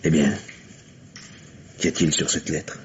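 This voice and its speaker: A steady police lieutenant with a very low voice and measured authority. The style is old French radio drama, on a dull recording with slight noise. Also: male voice, heavily acted. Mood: concerned. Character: steady, commanding male police lieutenant on a case. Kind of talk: old-fashioned French radio mystery acting.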